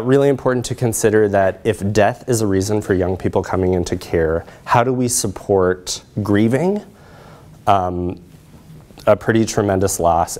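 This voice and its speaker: Man, middle-aged, lowish voice, measured lecturer, explanatory, pausing often. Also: darkish tone. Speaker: presenter, social work researcher. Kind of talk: academic lecture with slides. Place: lecture room, indoors.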